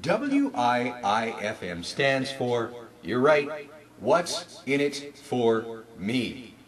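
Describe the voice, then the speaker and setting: A man presents like a trainer, with emphatic salesman delivery. His pitch swings widely in a very animated way, and there is clear crowd noise behind him. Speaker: store announcement trainer. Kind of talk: instructional talk with demonstrated announcement scripts.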